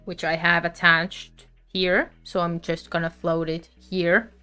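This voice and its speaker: Lady hosting a crochet live, chatty w lots of uhs, smooth lowish voice, faint music behind. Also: little pitch movement, sharp tone. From Finland. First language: Finnish. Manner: chatty and unhurried, with frequent fillers. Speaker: crochet livestream host. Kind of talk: casual live crafting chat.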